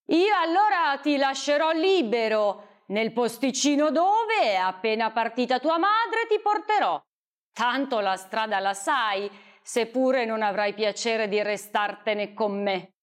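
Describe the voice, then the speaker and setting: A woman voicing a greedy, domineering male bandit in a storybook reading, speaking with a raised, forceful voice. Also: fairly high pitch. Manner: domineering, commanding. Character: gruff menacing male bandit, bossy and greedy.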